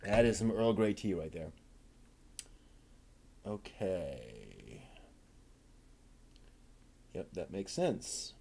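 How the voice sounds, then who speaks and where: A man quietly talking himself through assembling a plastic model kit, half-mumbling as he works. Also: low-pitched, very animated, gravelly, slight background noise. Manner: mumbling to himself while working, unhurried. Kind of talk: muttered build commentary.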